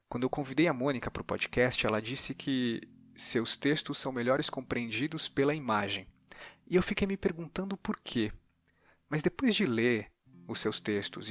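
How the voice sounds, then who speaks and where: A man's voice: medium pitch, a bit bright. Thoughtful Brazilian man hosting a podcast. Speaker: podcast host and interviewer. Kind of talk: reflective narration and interview questions.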